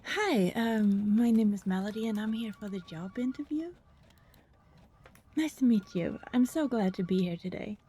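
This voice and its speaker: A woman's voice: mid-range voice, normal speed, mellow, slight background noise, close to an everyday voice. A woman playing an eager, polite and slightly flustered job applicant in an ASMR roleplay, chatty and a little hesitant. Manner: chatty, hesitant, nervously friendly. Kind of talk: ASMR roleplay acting, conversational.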